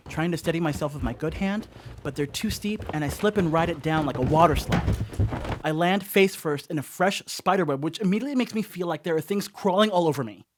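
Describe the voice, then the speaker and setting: An actor playing a rattled, wry slacker who rambles through a confession in a horror comedy radio play. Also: male voice, a little high, no room sound, studio quality, close to an everyday voice. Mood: rambling, sheepish.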